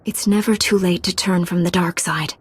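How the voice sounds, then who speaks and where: An actress playing a resolute, merciful Jedi Knight in a video game, speaking in an earnest, composed way. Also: female voice, on the low side, steady tone, normal speed, breathy edge. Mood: compassionate. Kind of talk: video game dialogue acting.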